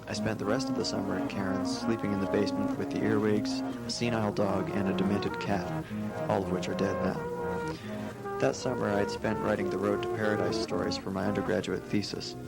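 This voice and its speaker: A man's voice: fairly low pitch, vocal fry, poor audio. A man from Canada reading a personal memoir aloud. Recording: loud music behind the voice. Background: loud music.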